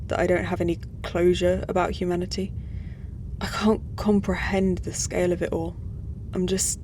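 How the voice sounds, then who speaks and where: A woman's voice: on the low side, flat delivery, normal speed, a little mellow, a little hiss or hum, lightly acted. A woman playing an earnest, displaced human space traveller in a science-fiction audio drama. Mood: sad, confiding. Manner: earnest, confiding.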